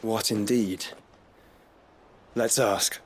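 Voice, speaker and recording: A polite, inquisitive fantasy adventurer. His voice sits a little low, with suave, wry phrasing suited to a video game companion. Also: steady tone, very slow, a little hiss or hum. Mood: curious, wry.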